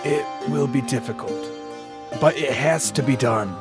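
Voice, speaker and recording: A man playing a serious, pragmatic adviser with a firm, deliberate manner in an anime fan radio drama. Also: mid-range voice, loud music behind the voice, close to an everyday voice. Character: serious, pragmatic male adviser to a ninja investigator.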